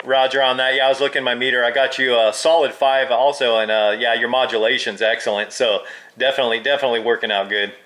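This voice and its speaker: A man's voice: mid-range voice, fairly even intonation, quick pace. A man having a casual hobbyist chat as a radio operator, heard through a two-way radio. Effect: two-way radio transmission. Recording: crackly two-way radio audio.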